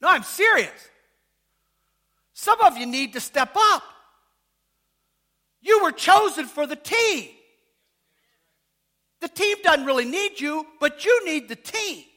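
A man preaching a sermon as pastor, casual and joking, asking the congregation questions, rising to shouts. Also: animated, slow, pauses often. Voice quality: high voice.

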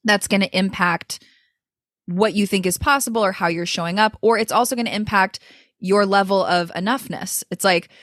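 A woman's voice: mid-range voice, normal speed, a bit bright, dry recording, studio quality. A woman voice coach speaking as a guest in an interview, talking in a thoughtful, flowing way with frequent "like" and "you know" fillers. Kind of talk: reflective interview talk.

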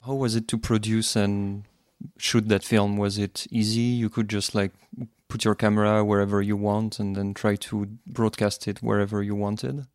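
A man's voice: fairly low pitch, little pitch movement, normal speed, a little mellow, no room sound, clean studio sound. A man hosting a podcast, asking long, winding interview questions. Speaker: podcast host. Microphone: podcast microphone.

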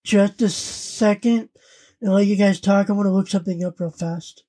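A woman co-hosting a podcast, chatting casually and haltingly as she searches for her words. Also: mid-range voice, fairly even intonation, dark tone. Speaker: podcast co-host. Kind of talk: casual chat recapping an anime parody.

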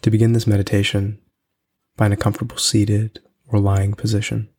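A man hosting a podcast and leading a guided meditation in a soothing, unhurried way with long pauses. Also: lowish voice, flat delivery, a little mellow, slightly rough.

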